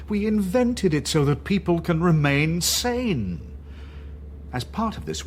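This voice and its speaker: An actor playing a calm, patronising interrogator in a radio drama, measured and condescending, with mock-kindly menace. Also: male voice, mid-range voice, a little hiss or hum. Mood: exasperated, lecturing. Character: calm, patronising male interrogator of a totalitarian regime. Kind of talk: naturalistic radio drama acting.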